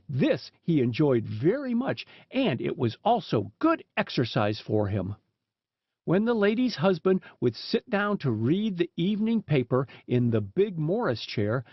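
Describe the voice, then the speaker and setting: High-pitched, lively male storybook narrator, muffled recording. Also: no room sound. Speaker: audiobook narrator.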